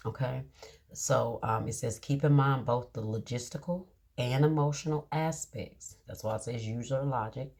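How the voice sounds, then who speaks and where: A woman with a husky, slightly breathy voice talks her viewers through a tarot spread. She reads card meanings aloud in a slow, deliberate way and keeps checking in with a reassuring "okay?" Her delivery is casual and conversational. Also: rumbling low, darkish tone. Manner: drawn-out, reassuring, many "okay?" check-ins. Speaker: tarot reader.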